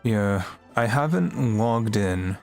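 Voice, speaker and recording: A man's voice: fairly low pitch, expressive, slow pace, vocal fry, a little mellow. A man livestreaming a video game, chatting casually and musing aloud in a laid-back way. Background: game music.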